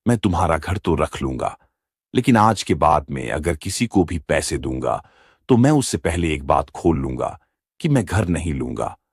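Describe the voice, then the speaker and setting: A man's voice: very deep voice, husky, no echo, clean studio sound, heavily acted. A man voicing a bear moneylender in a children's moral-story cartoon, dramatic and lamenting in manner. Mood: aggrieved. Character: male bear moneylender, scheming yet remorseful. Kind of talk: Hindi animated moral story dialogue.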